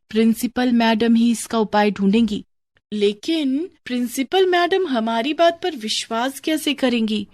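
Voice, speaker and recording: A woman voicing an indignant, mocking young schoolgirl in a cartoon story, with an animated, complaining manner. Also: fairly high pitch, natural voice. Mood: worried. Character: indignant, mocking young schoolgirls plotting against their teacher. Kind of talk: cartoon moral story dialogue.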